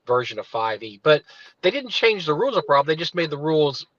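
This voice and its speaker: A man's voice: a little high, big pitch swings, brisk, a bit bright, no echo, highs cut off. A man co-hosting a casual livestream chat from inside his parked car. He rambles and digresses, restarting his sentences often. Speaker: livestream co-host.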